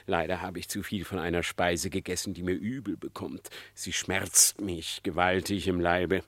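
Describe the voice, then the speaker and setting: An actor playing a sly fox trickster in a classic radio play, flattering and falsely humble, drawing out his phrases unctuously. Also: low-pitched, no echo. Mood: oily, flattering. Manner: unctuous, feigned meekness, drawn-out phrases.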